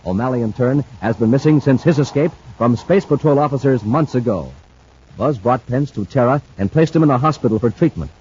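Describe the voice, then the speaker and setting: A man's voice: medium pitch, darkish tone, slight background noise. A man announcing a 1950s radio serial with scripted, dramatic and emphatic delivery. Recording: muffled old-time radio recording.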